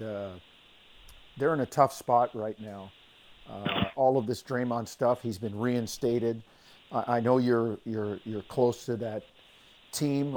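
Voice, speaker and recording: Discursive male sports podcast host, medium pitch. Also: a little mellow, a little hiss or hum, low-quality recording. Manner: discursive, builds long questions. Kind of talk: conversational sports interview.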